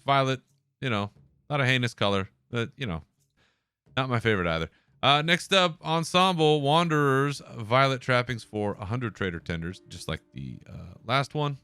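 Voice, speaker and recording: A man's voice: medium pitch, normal speed, sharp tone, smooth, dry recording. A man hosting a podcast alone, talking in a laid-back, casual way and swearing now and then. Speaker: podcast host. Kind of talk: solo casual commentary.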